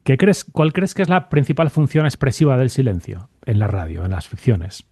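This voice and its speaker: A man speaks in a mellow, mid-pitched voice. He interviews casually, hesitating as he searches for words. The sound is very dry. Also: very animated, normal speed, slightly rough, no echo. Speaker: podcast host, interviewer.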